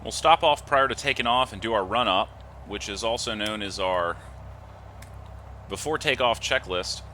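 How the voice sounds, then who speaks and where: Man, sharp forceful tone, methodical tutorial narration, checklist-style instruction, faint steady noise behind. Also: on the low side, normal speed. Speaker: host of a flight simulator video series. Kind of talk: step-by-step tutorial commentary over a flight simulator.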